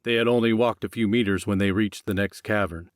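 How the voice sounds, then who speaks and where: A man's voice: on the low side, fairly even intonation, a little fast, no echo, clean studio sound. A man reading scripted fiction as an audiobook narrator.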